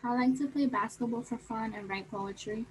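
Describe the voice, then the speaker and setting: A woman student introducing herself in brief, quiet answers while at work. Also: fairly high pitch, steady tone, moderate pace, breathy edge, low-quality recording.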